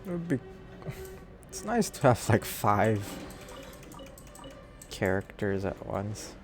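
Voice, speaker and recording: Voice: medium pitch, big pitch swings, takes it slowly, faint music behind. A streamer giving casual gameplay commentary, muttering reactions to the game as it goes.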